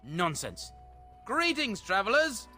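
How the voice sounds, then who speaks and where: A smug fantasy-game highwayman chief. His high, bright voice swings widely, with sardonic, mock-polite courtesy over faint music. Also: wildly swooping pitch, slow pace, heavily acted. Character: smug, smooth-talking male bandit leader.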